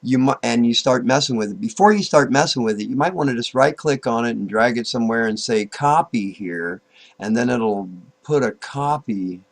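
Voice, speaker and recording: A man giving an informal, unscripted software tutorial, thinking aloud, halting and repeating himself as he goes. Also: mid-range voice, animated. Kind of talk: informal screen-recorded tutorial, unscripted walk-through.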